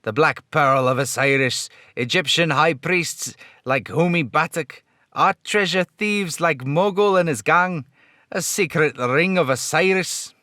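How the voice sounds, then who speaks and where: A man voicing an eager, chatty police sidekick in an old radio serial style, excitable and folksy. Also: on the high side, unhurried, no echo, clean studio sound, voice pushed up. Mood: eager, curious.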